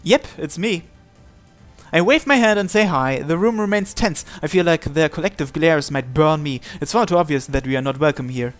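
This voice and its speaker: Higher-voiced man narrating over music. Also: moderate pace. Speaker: let's player reading a visual novel aloud. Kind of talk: reading visual novel narration and dialogue aloud.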